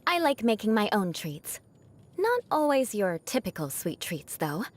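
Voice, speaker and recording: A woman's voice: mid-range voice, very animated, measured pace. A young woman voicing a proud, haughty noblewoman knight in a video game, an outcast bent on revenge, with formal, dramatic diction. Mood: composed, matter-of-fact. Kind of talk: video game character voice lines.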